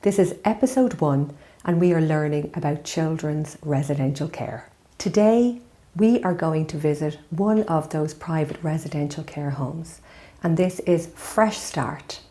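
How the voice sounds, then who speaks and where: A woman's voice: low-pitched, measured pace, mellow. An Irish woman presenting a documentary with a scripted introduction.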